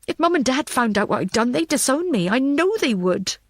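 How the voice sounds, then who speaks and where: A woman's voice: sits high, big pitch swings, fairly quick, no echo, studio quality. A woman reading a scripted thriller audiobook as narrator.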